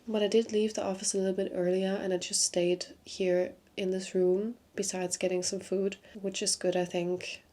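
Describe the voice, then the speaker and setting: A young woman with a congested voice, talking candidly to camera from a small hotel room, sometimes dropping to a soft near-whisper. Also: medium pitch. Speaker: vlogger, consultant. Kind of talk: casual talk to camera.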